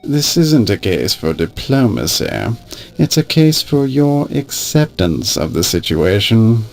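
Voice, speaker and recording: A pompous, blustering game villain with a creaky voice. His delivery is measured and swoops with haughty menace over synthesized music. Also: fairly low pitch, very animated. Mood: blustering anger. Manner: haughty, insulting, threatening. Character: arrogant, blustering, bullying male museum exhibitor. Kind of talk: theatrical video game voice acting. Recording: music under the voice.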